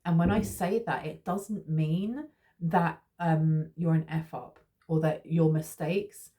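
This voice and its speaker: A woman's voice: deep voice, fairly even intonation, slow pace, dark tone. A woman giving a casual, rambling tarot reading, reassuring her listeners with many fillers and restarts. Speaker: tarot reader.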